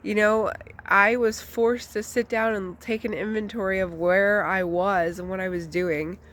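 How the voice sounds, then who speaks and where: A woman's voice: medium pitch, steady tone, a little slow, a bit bright, slight background noise. Chatty woman with a stuffy nose. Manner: chatty, candid, with frequent fillers. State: congested from a flu. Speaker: vlogger. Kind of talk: casual personal life update.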